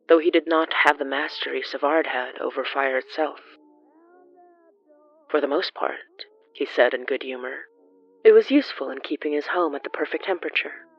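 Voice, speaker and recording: Horror fiction narrator, mid-range voice, formal and measured, slightly dull dry recording. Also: mid-range voice, dry recording.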